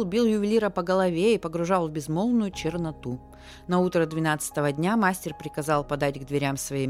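A woman with a slightly low voice narrates a fairy tale over music. Her reading is measured and expressive, in the manner of a storybook told aloud. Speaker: storyteller, podcast host.

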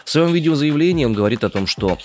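A man's voice: fairly high pitch, lively intonation, fast talker, a little hiss or hum. A man co-hosting a news discussion show, reading news items and thinking aloud with some hesitation.

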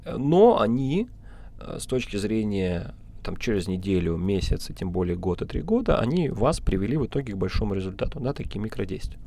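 A man giving informal motivational advice as a podcast coach, halting and repeating himself, often checking in with the listener. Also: lowish voice, big pitch swings, gravelly, mellow.

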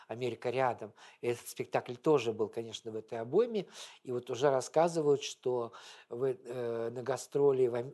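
A man's voice: mid-range voice, darkish tone. A man giving an informal lecture on theatre history, talking in a rambling, digressive, conversational way full of anecdotes.

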